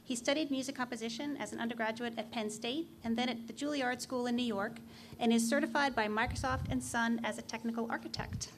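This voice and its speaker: A woman's voice: on the high side, fairly even intonation, fairly quick, a little hiss or hum. A woman chairing a conference session, formally reading out a speaker's introduction. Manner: formal, reads a prepared bio.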